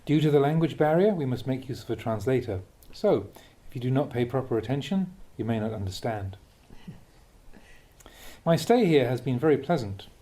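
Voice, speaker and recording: A man with a soft, mellow voice reads a Buddhist teaching aloud. His delivery is measured, with a lively rise and fall and clear emphasis on key words. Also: medium pitch. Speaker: Buddhist monk reading a translated teaching aloud. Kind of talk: read-aloud Dhamma talk.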